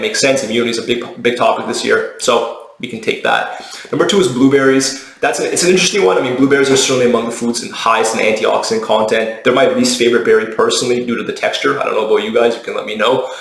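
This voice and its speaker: A man's voice: mid-range voice, vocal fry, a bit bright. A man speaking as a dietitian in a casual explainer video, conversational, matter-of-fact and opinionated. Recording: roomy, echoey indoor sound.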